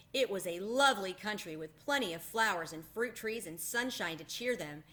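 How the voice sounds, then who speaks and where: Bright-voiced woman reading a storybook aloud. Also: mid-range voice. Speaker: read-aloud host. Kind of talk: children's storybook read-aloud narration.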